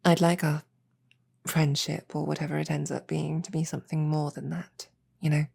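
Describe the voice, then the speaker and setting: A sultry succubus, acted out by a woman in a bedtime roleplay audio. The voice is deep for a female, husky and mellow, often sinking toward a whisper. The demoness teases gently and lingers over each phrase with intimate affection. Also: level tone, exaggerated voice. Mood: tender, earnest. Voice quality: breathy, soft, low. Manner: teasing, intimate, unhurried, halting. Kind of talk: roleplay audio drama, whispered sleep-aid ASMR.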